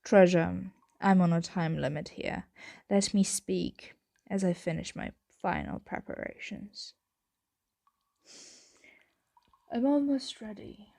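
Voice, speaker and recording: A woman playing a possessive, tender dragon in an ASMR roleplay, speaking softly and intimately, often in a breathy whisper, close to the microphone. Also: on the low side, big pitch swings, dry recording, exaggerated voice. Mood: calm, matter-of-fact. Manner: slow, intimate, coaxing. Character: possessive, tender dragon hoarding a human pet.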